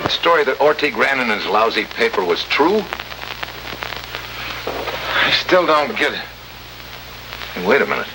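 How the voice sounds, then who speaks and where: An actor playing a tough, sardonic American special agent in a 1950s radio drama, with a wry, clipped delivery. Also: male voice, medium pitch, very animated, a little slow, pauses often. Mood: incredulous, stern. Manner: hard-boiled, wry, clipped. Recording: hissy, noisy old radio recording.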